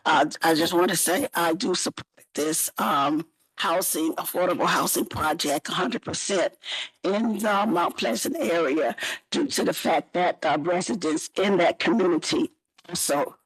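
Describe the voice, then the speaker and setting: A middle-aged woman on a city council, hoarse today, giving earnest, rambling support at a public meeting with many fillers. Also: on the low side, a little slow, no echo, low-quality recording.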